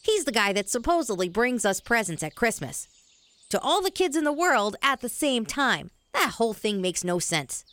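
A woman's voice: fairly high pitch, very animated, moderate pace, fairly bright tone, no echo. A female performer playing a cautious, sensible, skeptical young boy in a children's audio drama, earnest and worried.

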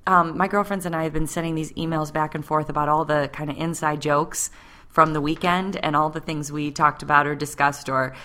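A woman's voice: low voice, little pitch movement, a little fast, sharp tone. A woman in her late thirties co-hosting a podcast, chatting casually with frequent fillers. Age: 30s. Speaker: podcast co-host. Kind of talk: casual conversation.

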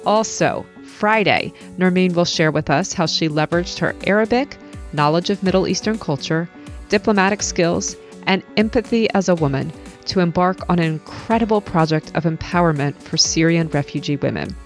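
Low-voiced woman podcast host. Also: moderate pace, faint music behind. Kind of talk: scripted intro then interview.

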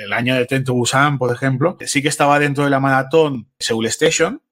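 A man's voice: medium pitch, fairly even intonation, a little fast, a bit bright. A man with an accent from Spain telling anecdotes casually as a podcast guest, repeating phrases for emphasis. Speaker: podcast guest, film fan.

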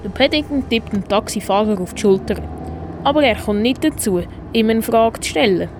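A woman's voice: fairly high pitch, lively intonation, normal speed. A woman with a Swiss German accent narrating a scripted radio play for children. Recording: faint background noise.

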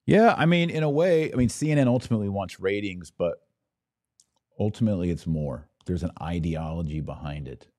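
A man with a very low, warm voice talks through comments on a livestream in a casual, conversational way. He drops in wry asides. The sound is dry and close. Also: lively intonation, a little fast, no room sound. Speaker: podcast host.

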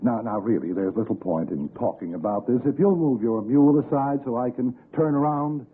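An actor playing a polite, fussy, educated husband with a hesitant, proper manner in a 1960s radio suspense drama. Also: male voice, medium pitch, brisk, husky, lightly acted. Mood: firm, exasperated. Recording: tinny, noisy old radio broadcast.

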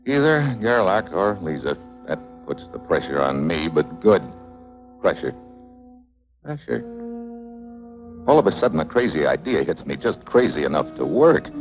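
An actor playing a tough, wry American secret agent in a 1950s spy drama, clipped, deadpan and sardonic. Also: male voice, deep voice. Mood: tense, brooding. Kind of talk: 1950s hard-boiled spy drama acting and narration. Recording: dull 1950s TV film soundtrack.

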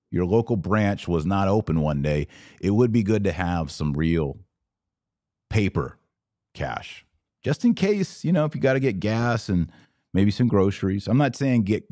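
Deep voiced guy from texas doing a live podcast, dry studio sound, casual but emphatic, chatting with commenters. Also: dark tone, no room sound. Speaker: podcast host.

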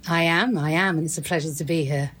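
Low, husky British woman. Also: fast talker, a bit bright. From Great Britain. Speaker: podcast interview guest. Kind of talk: conversational interview.

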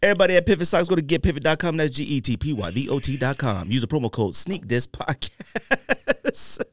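A man hosting a podcast in casual, jokey banter, telling things in an animated, exaggerated way. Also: a little high, a little fast, no room sound, clean studio sound. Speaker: podcast host.

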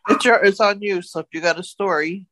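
A woman's voice: medium pitch, brisk, background noise. A woman co-hosting a podcast, reacting to stories with casual, chatty jokes. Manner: joking, chatty. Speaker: podcast co-host.

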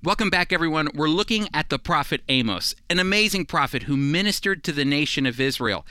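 A man's voice: fairly high pitch, animated, fairly quick, bright, forceful tone, clean tone, no echo, studio quality. A man teaching the Bible on a radio program in an earnest, emphatic, conversational preaching style.

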